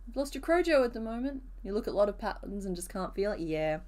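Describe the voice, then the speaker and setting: Woman host, crafting live stream, voice a bit hoarse today, chatty and easygoing with her chat. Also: mid-range voice, animated, fast talker, a little mellow. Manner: chatty, answers viewers' comments as she works. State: rough, hoarse voice. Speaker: crochet streamer. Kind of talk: casual live-stream chat while crocheting.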